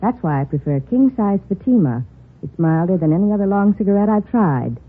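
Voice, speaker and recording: A woman reads a scripted testimonial for an old radio advertisement. Her voice is husky and medium in pitch, heard through a narrow, tinny broadcast sound. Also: expressive, a little fast. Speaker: testimonial speaker in commercial.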